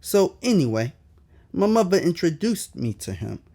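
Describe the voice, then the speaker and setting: A man's voice: high-pitched, very animated, moderate pace, gravelly, warm tone. A man narrating his own autobiography as an audiobook, in a candid, colloquial storytelling manner with emphatic asides. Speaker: author reading own autobiography.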